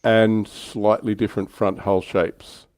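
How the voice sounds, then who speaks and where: Male hobby streamer, low-pitched, close dry sound, conversational panellist delivery. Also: flat delivery, takes it slowly, darkish tone, no echo. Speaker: livestream panellist on a tabletop wargaming show. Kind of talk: casual hobby discussion.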